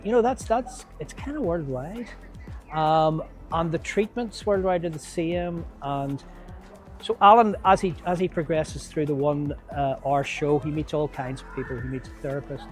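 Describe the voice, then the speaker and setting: Male theatre maker, Northern Irish accent, fairly high pitch, halting informal interview delivery, soft music bed. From Northern Ireland. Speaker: theatre company member promoting a show.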